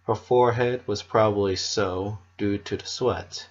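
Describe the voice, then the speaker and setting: A narrator giving an expressive, measured dramatic reading of a scripted story. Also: sits low, highs cut off.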